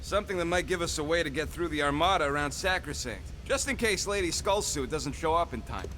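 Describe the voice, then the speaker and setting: A man's voice: a little high, animated, fairly quick, bright, forceful tone, a little hiss or hum. A man voicing a small, irritable raccoon tinkerer in a video game, with a snappy, sarcastic manner. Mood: irritable, guarded.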